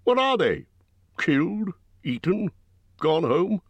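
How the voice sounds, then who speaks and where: Man doing a rough booming bear-man host, higher and louder than his own voice, dry close sound. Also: sing-song, slow pace, no room sound, heavily acted. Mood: gruff. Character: gruff huge bear-man host, blunt and impolite.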